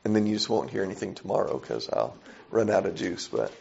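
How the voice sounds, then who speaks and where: A man preaching a sermon with a hoarse, raspy, weak voice from a sore throat, speaking conversationally with frequent pauses and fillers. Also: sits low, very animated, a little mellow, lo-fi sound, a little hiss or hum.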